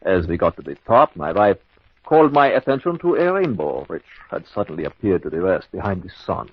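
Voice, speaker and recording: Deep, husky, cold-blooded radio-drama husband. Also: male voice, very animated, no echo, heavily acted. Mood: feigned composure. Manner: formal, measured, theatrical. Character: smooth, cold-blooded husband plotting his wife's murder. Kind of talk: old-time radio mystery acting. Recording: dull lo-fi old radio recording.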